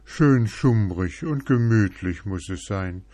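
A man's voice: lowish voice, expressive, slow, dark tone, no room sound. A man doing a wailing cozy owl. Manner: wailing, moody. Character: cozy owl who wants dim candlelight.

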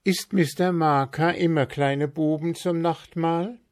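A man's voice: a little high, steady tone, measured pace, darkish tone, voice pushed up, lightly acted. A man voicing a cheeky, clever little boy in a storybook reading, eager and wheedling. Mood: curious.

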